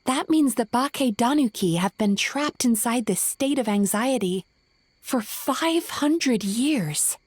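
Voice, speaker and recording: Young female companion for a game: caring, reflective, breathy-husky and high-pitched. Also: lively intonation, takes it slowly, no echo, full character voice. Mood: pondering. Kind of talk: video game voice acting.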